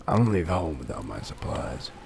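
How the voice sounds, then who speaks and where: A man acts out a weary old man with a very low, gravelly voice. He is a kindly retired salesman and widower who talks in a plain, folksy way, as in a comic dub drama. There is clear noise behind him. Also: very animated, moderate pace, heavily acted. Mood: reflective. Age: 60s. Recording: clearly noisy background hiss and ambience.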